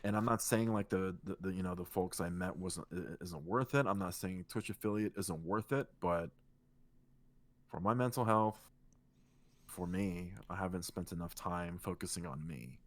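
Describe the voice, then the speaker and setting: A man co-hosting a casual podcast, talking in a relaxed, rambling way with a soothing voice and casual swearing. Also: deep voice, moderate pace. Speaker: podcast co-host and streamer. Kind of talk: casual chat.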